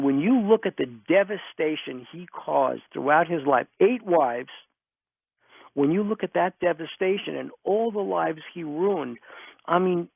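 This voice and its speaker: A man's voice: fairly high pitch, creaky, phone-quality sound. A man, a guest author telling stories emphatically and repeating himself to drive points home in an interview. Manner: emphatic, builds points through repetition. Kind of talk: animated storytelling in an interview. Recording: band-limited radio interview sound, close and dry.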